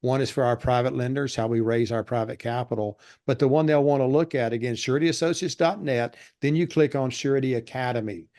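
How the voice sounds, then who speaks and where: A man with a monotone voice, a real estate educator giving steady explanations in a casual interview. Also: medium pitch, fairly quick, hardly any pauses. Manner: steady explanatory delivery with fillers.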